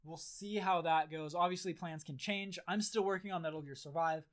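A man's voice: a little high, steady tone, fairly quick, fairly bright tone. A man hosting a casual gaming podcast who is losing his voice, rambling through blunt, profane chat with lots of fillers. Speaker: podcast host.

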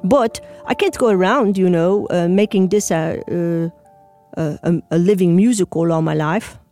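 A woman with a foreign-sounding accent tells a personal story in an emotional, halting manner. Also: lowish voice, dark tone, soft background music. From Indiana, USA. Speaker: storyteller, interviewee.

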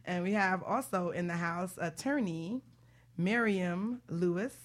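A woman's voice: on the low side, measured pace. A woman hosting a casual panel talk show in a playful, teasing manner.

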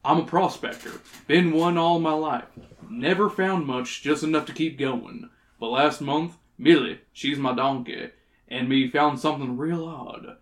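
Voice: medium pitch, measured pace, close to an everyday voice. A reader voicing a stubborn, smug old male prospector with rustic Old West phrasing, from a storybook read aloud. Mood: confiding. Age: older. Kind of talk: reading a storybook character aloud.

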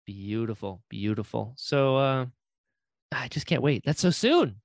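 A man hosts a casual interview in a very lively, swooping voice of ordinary male pitch. He is enthusiastic and quick to affirm what he hears. The recording is close and dry. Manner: enthusiastic, affirming, echoes the guest. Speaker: podcast host.

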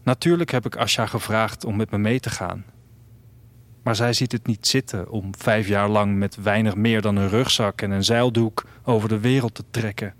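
A man's voice: fairly low pitch, fairly even intonation, moderate pace, close to an everyday voice. An actor playing a reflective man of a future world, narrating a radio drama intimately in the first person. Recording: music under the voice. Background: music.

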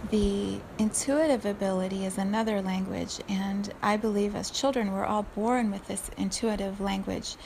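Reflective woman in her forties, gravelly voice. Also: medium pitch, a little hiss or hum, poor audio. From South Africa. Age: 40s. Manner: unhurried, thoughtful, laughs softly at herself. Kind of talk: reflective solo talk.

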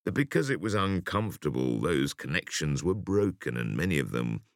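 A man reading an interview essay for an audiobook in a measured, emphatic way with deliberate pauses. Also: extremely low pitch, big pitch swings, no room sound, clean studio sound. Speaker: audiobook reader.

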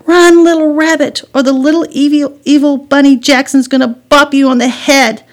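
A woman voicing a spirited, excitable little girl who exclaims dramatically in a children's story. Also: high-pitched, normal speed, voice pushed up, close to an everyday voice. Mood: concerned.

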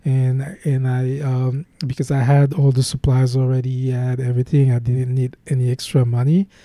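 A man's voice: medium pitch, completely flat delivery, normal speed, warm tone, dry recording. A young man with a Haitian accent, a guest speaking earnestly in an interview, often restarting his sentences. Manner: earnest, many restarts and fillers. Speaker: guest, program founder.

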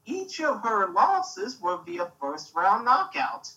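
A man's voice: very high-pitched, sing-song, slow pace, gravelly, sharp tone, recorded from a distance, lo-fi sound. A man co-hosting a casual podcast chat, swearing freely with dry teasing. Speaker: podcast co-host.

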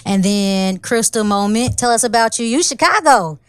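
A woman hosting a casual chat show, talking in a loud, playful way and laughing easily. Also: a little high, animated, moderate pace, clear, resonant tone, no room sound. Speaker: host.

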